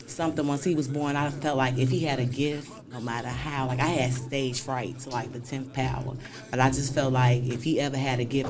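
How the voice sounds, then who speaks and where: Laid-back woman comic, busy room behind. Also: rumbling low. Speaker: guest comedian. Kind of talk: casual interview chat.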